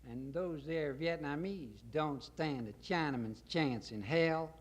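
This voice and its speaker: A man's voice: fairly high pitch, takes it slowly. A man voicing a pompous, folksy Texan president making self-assured war speeches in a satirical poem. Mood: grandly confident. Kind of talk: satirical poetry reading.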